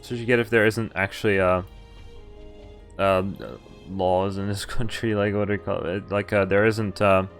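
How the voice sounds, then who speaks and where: A man's voice: fairly low pitch, fairly even intonation, faint music behind. A man streaming a game, reading visual novel text aloud and adding casual commentary. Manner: halting asides, casual swearing.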